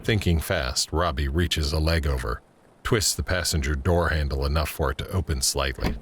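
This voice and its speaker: A man with an extremely low voice narrates an audio drama. He reads scripted scene headings and action as a storyteller setting each moment. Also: moderate pace, a little hiss or hum.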